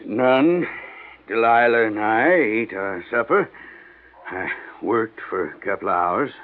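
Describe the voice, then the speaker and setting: A man's voice: lowish voice, lively intonation, slow. An actor playing a proud, irascible, fat older Southern rich man in a 1950s radio drama, folksy and commanding, with an American Southern accent. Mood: businesslike, folksy. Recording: muffled vintage radio drama recording.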